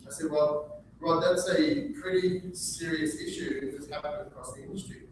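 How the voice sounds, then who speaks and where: A man's voice: a little high, steady tone, moderate pace. An Australian man presenting at a business breakfast seminar, upbeat and quizzing his audience. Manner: upbeat, engages audience with questions. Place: meeting room with audience. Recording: echoey room, far from mic, background noise.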